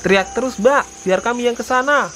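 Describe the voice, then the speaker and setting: A man voicing an urgent search and rescue worker calling out loudly in a cartoon. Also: very high voice, a little fast, a little hiss or hum. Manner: loud calling out.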